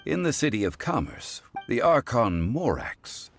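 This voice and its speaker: A man playing a calm, ancient god living as a gentleman in a video game, speaking in a formal, measured way. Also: medium pitch. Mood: calm, reflective. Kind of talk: scripted video game cutscene acting. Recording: music behind the voice.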